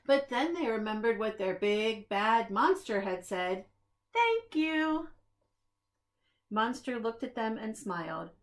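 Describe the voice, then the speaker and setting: A woman reading a children's picture book aloud in an expressive, deliberate storytelling manner, as if to young listeners. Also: medium pitch. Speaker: preschool staff reader.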